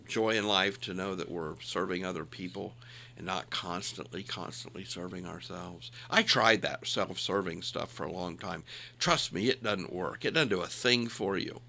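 A man's voice: low voice, creaky, bright, forceful tone, slight background noise. A man in his sixties chatting casually with a co-host, folksy and self-deprecating, leaning into emphatic points. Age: 60s. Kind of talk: casual chat.

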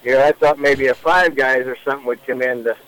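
A man's voice: medium pitch, fairly even intonation, fairly quick, a bit bright, rough recording. A man, chatting casually as a caller to a radio show. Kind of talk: casual chat.

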